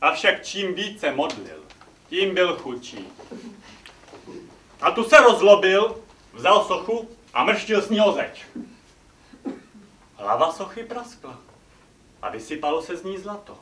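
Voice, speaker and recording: An actor playing Aesop, an ugly, witty and impudent slave who tells tales, in theatrical stage comedy style. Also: male voice, high-pitched, big pitch swings, long pauses, pushed and bright, heavily acted. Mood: animated storytelling. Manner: theatrical, cheeky, declaiming. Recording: echoey theatre stage, distant microphone.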